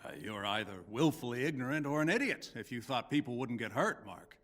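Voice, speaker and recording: Bitter older male game villain, played by an actor: formal, menacing, very animated intonation, big reverberant space. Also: medium pitch, a little hiss or hum, natural voice. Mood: contemptuous. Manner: contemptuous, formal, deliberate menace. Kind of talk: dramatic video game voice acting.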